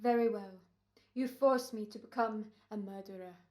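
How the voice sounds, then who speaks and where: A woman's voice: mid-range voice, fairly even intonation, moderate pace, darkish tone, reverberant, natural voice. A woman playing a grumbling, exasperated male actor in an old-time radio play, whiny and petulant. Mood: stiff.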